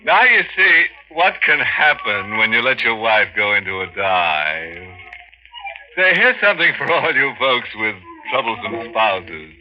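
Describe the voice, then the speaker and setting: An actor playing a gleefully morbid horror host on 1940s radio, sardonic and punning, on an old muffled broadcast. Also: male voice, medium pitch, big pitch swings, measured pace, soft background music. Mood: wry, morbid.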